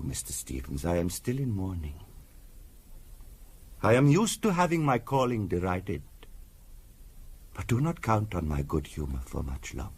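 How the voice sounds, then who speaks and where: An actor playing an earnest, formal spiritualist medium in a 1970s radio drama, measured and insistent in delivery. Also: male voice, medium pitch, vocal fry, slightly rough, exaggerated voice. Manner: measured, formal, insistent, sometimes hushed and hypnotic.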